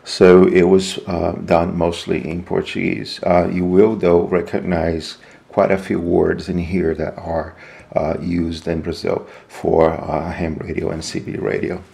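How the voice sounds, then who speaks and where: A man giving an unscripted, hands-on equipment walkthrough in a halting, explanatory way. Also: deep voice, level tone, creaky, mellow. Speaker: product demonstrator.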